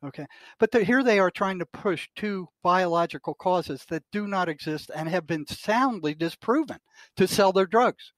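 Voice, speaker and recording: A man's voice: high-pitched, lively intonation, normal speed, a bit bright, no room sound. An older man, a retired psychotherapist and podcast guest, talking bluntly and indignantly, with emphasis and some mild swearing. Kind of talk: opinionated discussion, quoting articles aloud.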